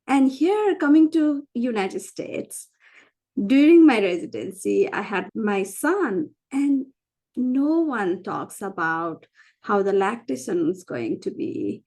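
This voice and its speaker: A woman's voice: medium pitch, expressive, slow, a little mellow. A woman from Nepal with a Nepali accent, giving a candid, conversational personal account as an interview guest, repeating phrases for emphasis. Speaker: interview guest, physician and founder.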